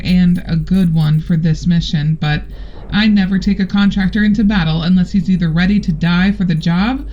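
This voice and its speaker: A woman's voice: fairly low pitch, dark tone, dull audio, natural voice. A woman playing a tough, frank spacefaring crew member in a sci-fi audio drama, speaking bluntly.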